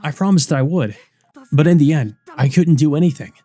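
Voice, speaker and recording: A man's voice: a little high, fairly quick, warm tone, voice pushed up, lightly acted. A man playing a desperate, devoted, grief-stricken young man from a visual novel, pleading and stammering. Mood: anguished, guilty. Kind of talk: visual novel character reading.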